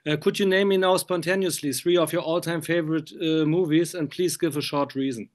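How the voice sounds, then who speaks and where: Higher-voiced man, halting English. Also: fairly even intonation. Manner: chatty, halting non-native English phrasing. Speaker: film magazine interviewer. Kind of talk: casual interview over video call.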